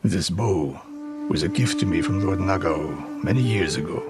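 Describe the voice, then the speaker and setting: An actor playing a stern, proud older samurai archery master in a video game, speaking in a measured, haughty, sardonic way. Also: male voice, low voice, close to an everyday voice. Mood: haughty, dry. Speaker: archery teacher. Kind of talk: dramatic video game acting. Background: background music.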